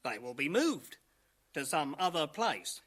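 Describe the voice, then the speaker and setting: An actor playing an obedient, matter-of-fact company engineer in a radio drama, officious and dismissive. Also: male voice, a little high, big pitch swings, unhurried, a bit bright. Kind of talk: 1980 radio drama acting.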